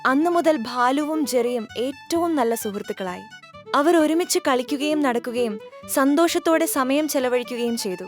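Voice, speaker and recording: A woman's voice: sits high, moderate pace. A woman narrating a scripted children's story as a storyteller. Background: clear background music.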